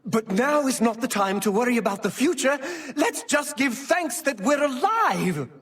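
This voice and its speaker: High-pitched, stately imperial chancellor. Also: male voice, big pitch swings, normal speed, fairly bright tone, far from the mic, heavily acted. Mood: relieved. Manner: formal, declamatory, emphatic. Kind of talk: dramatic fantasy game acting.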